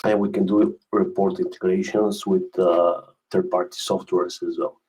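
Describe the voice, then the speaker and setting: A man giving a webinar product presentation as a specialist, speaking in a hesitant, halting way and often repeating words. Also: low-pitched, level tone, warm tone, husky, poor audio.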